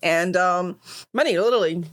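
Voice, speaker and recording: A woman's voice: fairly low pitch, moderate pace, fairly bright tone. A woman, a podcast guest telling her story in a chatty way, laughing often and restarting sentences. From Croatia. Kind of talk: casual interview storytelling. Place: decorated podcast set. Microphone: studio podcast mic, on camera.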